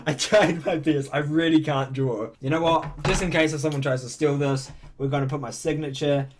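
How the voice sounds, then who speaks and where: An eighteen-year-old man answering fan questions in a casual, excitable vlog from his bedroom, with his voice jumping high whenever he gets enthusiastic. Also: moderate pace, background noise. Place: bedroom, indoors.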